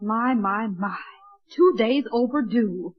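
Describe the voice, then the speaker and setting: A woman's voice: a little high, expressive, slow. An actress playing a kindly but fussy, politely insistent neighbor lady in an old-time radio sitcom. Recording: dull vintage radio recording.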